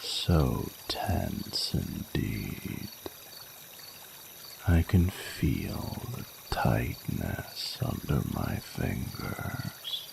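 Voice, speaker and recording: A man's voice: rumbling low, very animated, gravelly, noisy background, heavily acted. A man voicing a flirty, courtly male dragon in a romantic audio roleplay, speaking formally and soothingly in intimate ASMR style. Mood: tender, soothing. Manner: formal, flowery, unhurried, soothing speech. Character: ancient-sounding flirty male dragon, tender, courtly lover.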